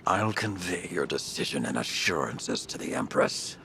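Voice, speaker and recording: Gruff, extremely deep alien warrior. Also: male voice, sing-song, moderate pace, bright, forceful tone, slight background noise, full character voice. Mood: formal, stern. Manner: formal, terse, weighty. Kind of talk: video game voice acting.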